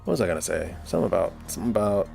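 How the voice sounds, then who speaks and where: Low-pitched man rambling on a livestream. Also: vocal fry, a little mellow, faint music behind. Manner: rambling, thinking aloud, halting repetitions. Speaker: livestream host and audio drama producer. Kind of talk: casual livestream chat while working.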